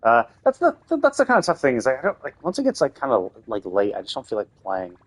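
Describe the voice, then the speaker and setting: A man's voice: medium pitch, huge pitch swings, fast, slightly rough. A man streaming a game live, thinking aloud in a loose, rambling way with lots of restarts. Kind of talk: casual live-stream commentary while playing.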